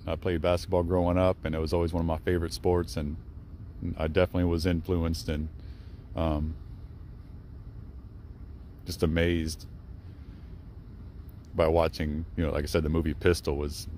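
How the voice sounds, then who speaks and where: Low-pitched young man, casual vlog monologue, rambling with fillers, hushed and respectful, outdoors, faint background noise. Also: little pitch movement, moderate pace, long pauses. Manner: rambling, with many fillers and self-corrections. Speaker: travel vlogger. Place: outdoors in a cemetery.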